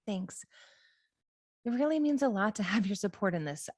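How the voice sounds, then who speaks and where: A woman's voice: mid-range voice, expressive, normal speed, a little mellow, no room sound, clean studio sound, lightly acted. Woman reading an earnest young witch, closely recorded. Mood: appreciative, interested. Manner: conversational, candid. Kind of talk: informal script table read.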